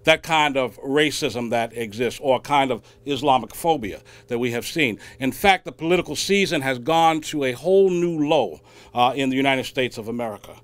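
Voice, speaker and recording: Clear-voiced man making an emphatic public statement. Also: mid-range voice, moderate pace. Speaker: civil rights speaker at a news conference. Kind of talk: public statement, impassioned speech.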